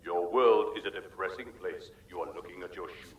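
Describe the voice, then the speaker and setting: An actor playing a hectoring teaching machine, declaiming theatrically and emphatically in a comic radio drama. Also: male voice, low-pitched, fairly quick, echoey room, close to an everyday voice. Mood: stern, brisk.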